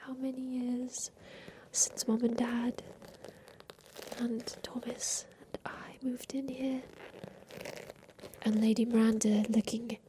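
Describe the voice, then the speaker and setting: A woman playing an aging, wistful inventor confined in a haunted attic, whispering softly and confidingly in an ASMR roleplay about revenge. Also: on the high side, flat delivery, a little hiss or hum, poor audio. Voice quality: soft breathy whisper. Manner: slow, wistful, confiding, halting.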